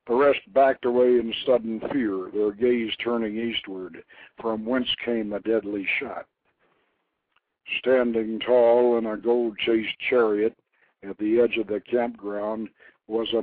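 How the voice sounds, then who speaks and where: Male storyteller, mid pitch, grandiose scripted narration of legend, narrow phone-like internet radio sound. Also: dry recording. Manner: theatrical, grandiose, deliberate phrasing. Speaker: storyteller, host reading his own novelette.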